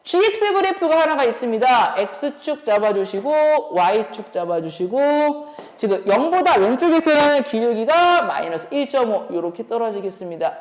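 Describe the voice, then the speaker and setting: High, animated female instructor in echoing room. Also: normal speed. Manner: explanatory, thinking aloud with rhetorical questions. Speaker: math academy instructor. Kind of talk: exam problem explanation lecture. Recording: reverberant room.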